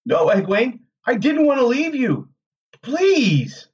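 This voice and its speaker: Guy doing a scared young lad, high n pleading, stammery, fantasy storybook. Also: sing-song, slow, distant mic, muffled recording, heavily acted. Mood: pleading, tearful. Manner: stammering, begging. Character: desperate frightened young man, haunted and pleading.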